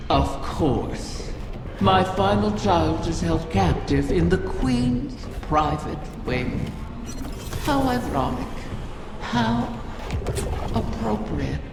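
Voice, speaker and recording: A woman voicing an ancient female wish-dragon, captive, sly and wistful, with slow, lilting, mocking tenderness in a video game cutscene. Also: lowish voice, lively intonation, noisy background, full character voice. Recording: echoey hall with music over it. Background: orchestral score.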